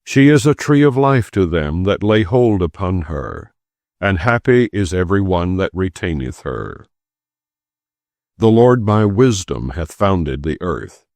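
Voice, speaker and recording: A man reading scripture as an audiobook narrator, in a solemn, measured and deliberate way with emphatic phrasing. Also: deep voice, very animated, a little mellow, dry recording.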